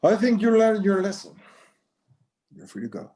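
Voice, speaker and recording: A man's voice: high voice, very animated, normal speed, dark tone. A man playing a stubborn, tired old Mexican rancher father who mixes English and Spanish in a screenplay table read. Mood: calm, firm.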